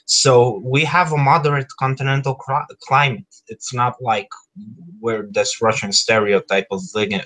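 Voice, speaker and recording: A man's voice: mid-range voice, pushed and bright, rough recording. A man with a thick Romanian accent, chatting on a group call and explaining things at length. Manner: explains at length, correcting stereotypes. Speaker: panel guest. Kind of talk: casual group call chat.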